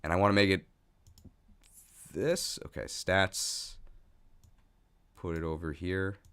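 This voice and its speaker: A man's voice: low-pitched, fairly even intonation, slow, a bit bright, clear, resonant tone. A man hosting a livestream, chatting casually and thinking aloud in a jokey, self-deprecating way. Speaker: streamer, video host. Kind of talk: casual livestream chat while setting up.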